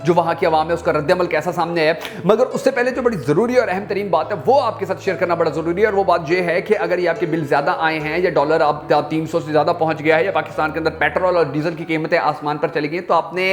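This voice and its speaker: Male, Pakistani accent, rapid delivery, slightly high voice, emphatic news commentary, repetitive rhetorical build-up. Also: fairly even intonation. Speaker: YouTube news channel host.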